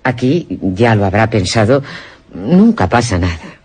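An actor with a Castilian Spanish accent playing a calm, courteous host of a lonely castle in a radio drama. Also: male voice, lowish voice, unhurried, slightly rough, full character voice. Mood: courteous, wistful.

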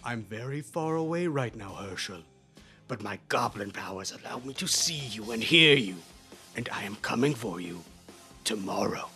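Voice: mid-range voice, expressive, normal speed, pushed and bright, soft background music, pitched below the performer's own voice. A storyteller voicing a boastful, menacing goblin king with grandiose, theatrical proclamations. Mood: menacing, grand.

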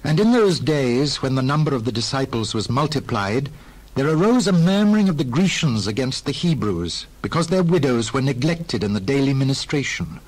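A man narrating dramatized scripture in a formal, expressive storytelling manner. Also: medium pitch, normal speed, low-quality recording.

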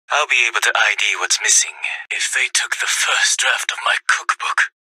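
Game role: suave, grandiose lizard gangster, forceful raspy delivery, smug showman. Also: mid-range voice, normal speed, no room sound, low-quality recording. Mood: serious, wry. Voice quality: gravelly, husky. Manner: smooth-talking, self-satisfied, grandiose. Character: suave lizard-man restaurateur crime boss, smug and theatrical. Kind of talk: video game voice acting.

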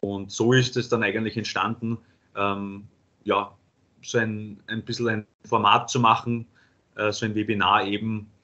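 A paramedic lecturer with an Austrian accent presenting a webinar, pausing often with fillers. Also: male voice, lowish voice, pushed and bright. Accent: Austrian German. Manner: halting, many filler sounds. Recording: dull lo-fi webinar audio.

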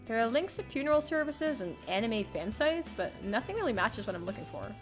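A young woman streamer reading a visual novel aloud in an expressive, theatrical first-person narration. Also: on the high side, a little fast. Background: soft game music.

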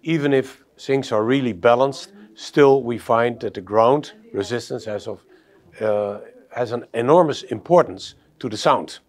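Male, middle-aged, medium-pitched technical presenter explaining equipment at a trade show demo. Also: unhurried, darkish tone. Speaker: audio company presenter. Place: exhibition demo room, indoors.

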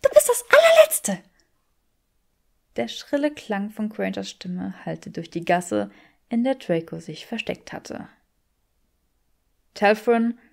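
A woman's voice: medium pitch, vocal fry, darkish tone. Female audiobook narrator, mid-range voice, scripted storytelling delivery. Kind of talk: scripted fan fiction narration.

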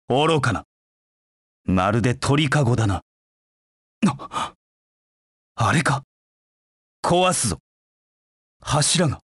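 An actor voicing a proud, cold dark knight in a video game, with curt, haughty, disdainful delivery. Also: male voice, mid-range voice, no echo, clean studio sound. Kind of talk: video game voice acting in short battle lines.

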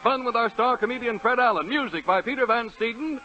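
A man announcing a 1930s radio comedy show with a theatrical, booming delivery, on an old radio recording. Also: a little fast. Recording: dull 1930s radio recording with loud music.

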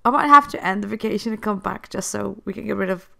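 Woman with a cold doing chatty game commentary, gravelly voice, giggles a lot. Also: medium pitch, fast talker, pushed and bright. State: has a cold. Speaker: gameplay host. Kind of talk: casual gameplay commentary.

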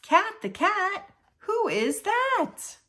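A woman reading children's picture books aloud in an expressive storytelling style. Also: extremely high pitch, drawn-out words. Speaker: children's book reader.

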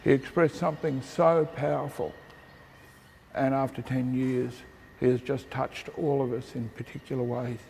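A man's voice: medium pitch, steady tone, moderate pace, vocal fry, a little mellow. An older man giving an informal speech as a guest at an event. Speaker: guest speaker. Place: large indoor gallery hall, audience present. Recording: noisy echoing hall.

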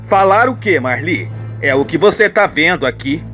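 A man's voice: fairly high pitch, animated, moderate pace, fairly bright tone, soft background music. A man playing a brazen middle-aged cheating husband in a radio soap opera. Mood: brazen, defensive.

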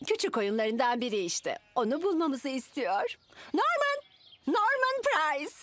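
Fussy cartoon mom, doting, highish and bouncy pitch, loud music. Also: female voice, very animated, measured pace. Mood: calling out. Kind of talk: Turkish cartoon dub.

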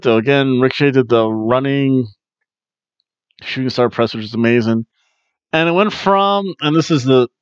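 A man's voice: medium pitch, clean tone, dry recording, dull audio. A man in his forties casually recapping wrestling matches from a small home room, opinionated and chatty, on a headset microphone. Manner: chatty and opinionated, bursts into loud mock booing. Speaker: wrestling review host. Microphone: headset mic on video webcam.

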